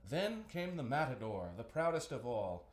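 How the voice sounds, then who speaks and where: A man narrates a children's storybook with a very animated delivery. His voice sits at a middle pitch, and the room around him is audibly echoey. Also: moderate pace. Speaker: storybook reader, host.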